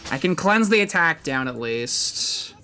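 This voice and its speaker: Animated streamer thinking aloud over music. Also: medium pitch, unhurried. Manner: hesitant, thinking aloud, mildly profane. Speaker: game streamer. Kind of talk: casual live game stream chat.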